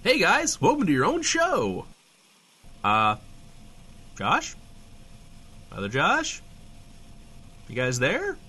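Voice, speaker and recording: A man's voice: on the high side, wildly swooping pitch, slow pace, long pauses, sharp tone, husky, full character voice. A man playing a bumbling, chipper radio host in a comedic audio drama, with glib, wordy banter.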